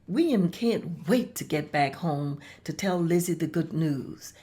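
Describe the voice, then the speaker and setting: A woman's voice: lowish voice, dark tone. A woman reading stage directions aloud as the narrator of a table read.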